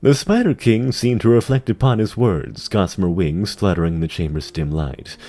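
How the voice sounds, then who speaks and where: A deep-voiced man delivers dramatic, scripted fantasy narration. His voice is husky and moves expressively in pitch as he tells the story. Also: lively intonation, normal speed, warm tone.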